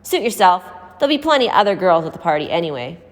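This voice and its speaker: A woman's voice: on the low side, sing-song, quick pace. A woman voicing a violent, controlling male thug from a story, with a coarse, threatening, snarling manner. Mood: sneering. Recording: echoey hall-like reverb.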